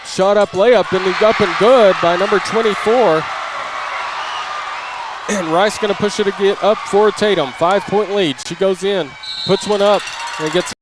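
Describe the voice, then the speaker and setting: A man's voice: sits high, fairly even intonation, measured pace. A man doing live play-by-play basketball commentary in short, clipped running calls, as a sports announcer. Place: indoor gymnasium during a basketball game. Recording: noisy live gym broadcast.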